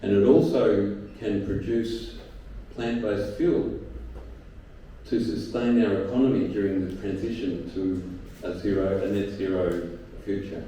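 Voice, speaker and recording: A man giving a short pitch slowly and deliberately, halting now and then with fillers. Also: fairly even intonation, dark tone. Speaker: startup founder pitching. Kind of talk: startup pitch. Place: event venue. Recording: echoey room, from far off, faint noise.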